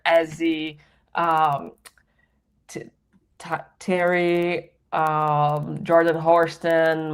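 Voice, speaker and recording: A woman co-hosting a sports talk show, chatting casually and laughing often. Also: sits low. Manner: chatty, laughs often, quotes others in voices. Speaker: podcast co-host.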